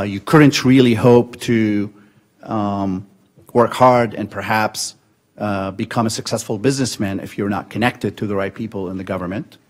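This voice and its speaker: A man in his forties, born and raised in Syria, speaks at a conference podium in a fairly low voice. He talks in a measured way, pausing on fillers and restarting phrases. A podium microphone picks him up in a meeting room. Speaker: conference panelist. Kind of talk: policy panel talk.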